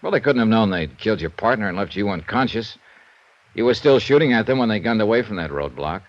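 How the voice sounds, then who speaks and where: A man plays a wry American investigator in old-time radio drama. The voice is fairly low and swings freely in pitch, casual yet probing. The sound is dull, like an aged broadcast recording. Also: lively intonation, fast talker, close to an everyday voice. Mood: probing, reasoning. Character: shrewd, easygoing male insurance investigator hero. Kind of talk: 1950s radio detective drama acting, dialogue and narration.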